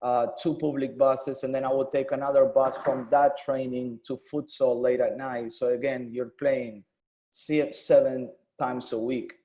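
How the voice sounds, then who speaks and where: A man in his thirties, originally from Argentina, speaks as a podcast guest with a mellow, warm tone. He talks in a relaxed, storytelling way, filling pauses with "um" and "you know". His pitch sits in an ordinary middle range. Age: 30s. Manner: relaxed, conversational storytelling with many "um"s. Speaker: guest, former pro player and coach. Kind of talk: casual interview.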